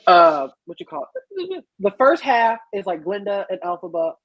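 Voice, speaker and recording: Husky-voiced podcast panelist, muffled dry sound. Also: mid-range voice, big pitch swings, moderate pace, no echo. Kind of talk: casual chat, film review podcast. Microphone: online group call. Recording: muffled, lo-fi online call audio.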